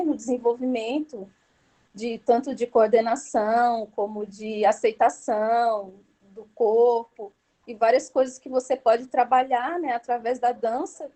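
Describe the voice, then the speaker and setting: A woman, a Zumba instructor, answering a question on a video call in a halting way with many fillers and restarts. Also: a little high, mellow, low-quality recording. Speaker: Zumba instructor guest speaker. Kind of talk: hesitant spontaneous academic answer.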